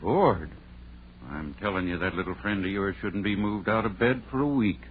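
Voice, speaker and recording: An actor playing a plain-spoken, reassuring doctor with a soothing but firm manner in an old radio drama. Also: male voice, lowish voice, moderate pace, natural voice. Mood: firm warning. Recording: tinny old radio recording with hiss.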